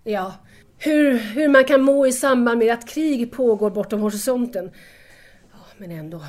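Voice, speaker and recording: A woman's voice: on the high side, a little mellow, close to an everyday voice. A young actress playing an anxious, emotional woman in wartime Paris in an audio drama, speaking earnestly. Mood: uneasy. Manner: earnest, agitated.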